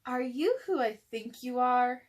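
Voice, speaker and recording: A woman voicing a polite, earnest young boy mouse from a picture book in a deliberate way. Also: a little high, animated.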